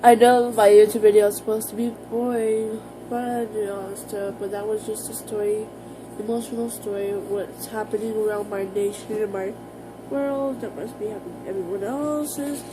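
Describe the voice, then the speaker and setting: An American woman talking casually to her viewers in an informal, halting, rambling vlog style. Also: mid-range voice, steady tone, music in the background, rough recording. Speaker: vlogger.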